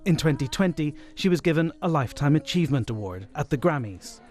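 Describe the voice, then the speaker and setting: A man's voice: fairly high pitch, expressive, a little fast, darkish tone. A British man reading scripted narration for a news obituary report. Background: music.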